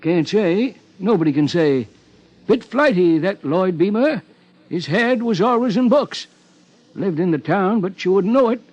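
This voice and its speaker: A man's voice: high voice, expressive, measured pace, a little mellow. A man playing a fussy, folksy American small-town sheriff and barber, rambling and hesitant, in 1950s radio character style. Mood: folksy, musing. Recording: dull old 1950s radio broadcast recording.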